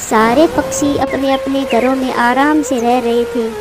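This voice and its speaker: A woman reading scripted narration for a children's animated story. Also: high-pitched, measured pace, background noise. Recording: music bed behind the voice.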